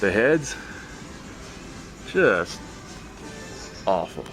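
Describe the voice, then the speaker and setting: A man's voice: lowish voice, very animated, extremely slow, fairly bright tone, poor audio. A man giving casual commentary as a vlog host, muttering to himself while browsing in a store. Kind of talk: casual toy hunt commentary. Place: inside a retail store aisle. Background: music.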